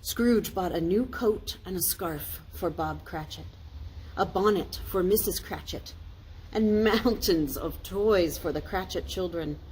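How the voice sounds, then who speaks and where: A woman pastor reading a storybook aloud in an expressive children's storytime style. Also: on the low side, unhurried, slight background noise, poor audio.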